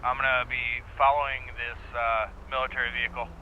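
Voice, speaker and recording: A man's voice: mid-range voice, level tone, fairly quick, narrow, tinny audio, slight background noise, close to an everyday voice. A man playing a businesslike police officer, speaking in clipped, procedural radio calls for an online game roleplay.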